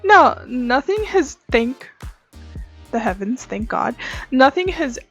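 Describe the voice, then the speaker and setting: Young woman, high voice, slow chatty delivery, breezy and gushing, casual story-time host over music. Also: very animated.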